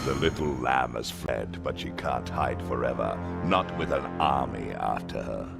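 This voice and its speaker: Fantasy game villain: pompous, gloating male tyrant, bottomless gravelly bass, loud music behind. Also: a little slow, full character voice. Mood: gloating menace. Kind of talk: fantasy video game cutscene acting.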